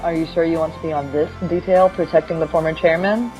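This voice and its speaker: A woman's voice: low-pitched, steady tone, quick pace, warm tone, faint music behind, lightly acted. A woman voicing a stern, formal armored woman warrior in a fan podcast read-through, speaking in an earnest, formal way. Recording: muffled lo-fi recording.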